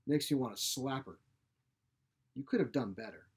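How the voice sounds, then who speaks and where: A feisty old woman, acted out by a male narrator, speaks in a blunt, folksy, grumbling way. She is a sharp-tongued storybook mother who minces nothing. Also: medium pitch, moderate pace, breathy edge. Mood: blunt, disapproving. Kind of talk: short story character reading.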